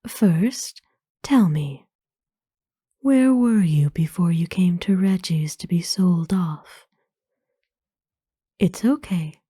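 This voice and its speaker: A woman playing a kind, courtly, centuries-old vampire in an ASMR roleplay, speaking tenderly and formally in a breathy whisper. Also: on the low side, mellow, no room sound, clean studio sound. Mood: curious, soft. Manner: formal, slow, tender, hesitant pauses. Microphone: close dry microphone.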